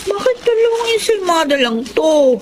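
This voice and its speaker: Radio drama: high-voiced, sarcastic young daughter over audible noise. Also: female voice, very animated, normal speed. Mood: complaining. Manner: sarcastic, sharp, complaining. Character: sharp-tongued jealous young daughter.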